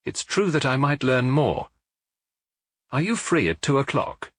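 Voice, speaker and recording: A man voicing an earnest, deferential provincial police inspector who explains things briskly in an audiobook. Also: medium pitch, big pitch swings, a bit bright, no echo, studio quality, voice pushed up. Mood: frustrated, earnest.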